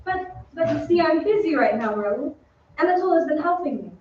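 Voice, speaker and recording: A woman acting a gentle, polite young opera singer in an old-time radio drama. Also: high-pitched, expressive, brisk, slight background noise. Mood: flustered, polite. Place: theatre stage. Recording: echoey stage, distant muffled mic.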